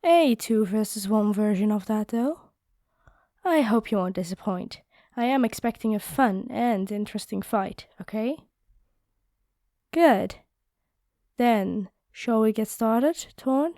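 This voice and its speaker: A woman's voice: a little high, slow, pauses often, mellow, dry recording, studio quality. A woman playing a cheeky, playful warrior lady in a fantasy audio roleplay, talking in a casual, teasing, chatty way.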